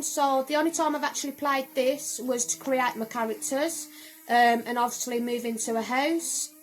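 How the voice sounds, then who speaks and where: A woman, her voice a little croaky, chatting casually as she reviews her game collection at home. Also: sits high, slight background noise, poor audio. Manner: chatty, rambling, with frequent "um" and "so, yeah". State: slightly croaky voice. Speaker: gaming vlogger. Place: home room.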